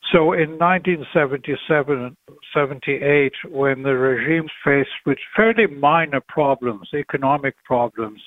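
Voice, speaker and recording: A man speaks through a narrow, phone-like connection with little room sound. His voice is middling in pitch, and he explains like an interviewed historian, stopping and rephrasing as he goes. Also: normal speed, dry recording. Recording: muffled, lo-fi, radio-like line.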